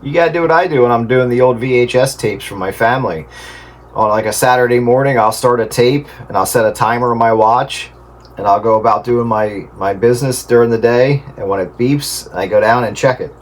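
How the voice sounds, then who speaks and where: A middle-aged man in casual radio chat, explaining things in a halting way. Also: mid-range voice. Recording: clear steady background noise.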